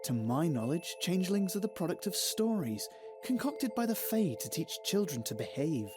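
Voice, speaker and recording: A male actor playing a polite, uneasy young cleric, speaking formally and guardedly in a dark fantasy audio drama. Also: sits high, big pitch swings, normal speed, over music.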